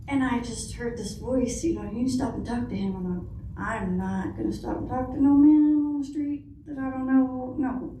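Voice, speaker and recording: A middle-aged woman with a soft voice, giving a hesitant personal testimony to an audience. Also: on the high side, moderate pace. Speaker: guest speaker, foundation founder. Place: indoor conference room. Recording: roomy hall, distant mic, faint noise.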